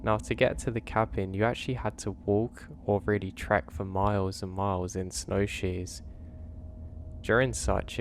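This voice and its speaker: A man with a lowish voice, his throat slightly strained, reads first-person horror stories in a calm, measured way. He speaks as a steady host over a faint bed of background noise. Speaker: horror story narrator. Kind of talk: scripted first-person story narration.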